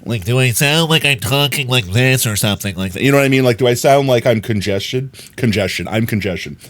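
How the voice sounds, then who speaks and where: A man's voice: medium pitch, brisk, fairly bright tone. A young man streaming live, chatting casually to his viewers with a slightly nasal voice, rambling and often giggling.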